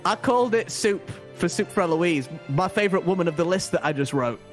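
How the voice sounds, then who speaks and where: A man's voice: high voice, animated, soft background music. A man chatting on a gaming call, teasing and giggling often as he plays. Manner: teasing, giggly, quick talker. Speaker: streamer guest. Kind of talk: casual gaming chat.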